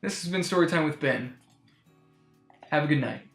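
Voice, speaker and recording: A man's voice: on the high side, moderate pace, creaky. A man hosting a comedic storytime show, giving a rambling, wry, mock-serious monologue. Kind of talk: comic monologue reviewing a children's book. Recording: roomy echo, music underneath.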